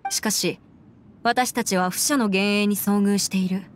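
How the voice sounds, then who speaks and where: A woman's voice: medium pitch, fairly even intonation, moderate pace, a little hiss or hum, close to an everyday voice. A woman voicing a clever, businesslike young female consultant in an anime game, with matter-of-fact, precise, formal wording. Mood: serious, businesslike. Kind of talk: Japanese anime game voice acting.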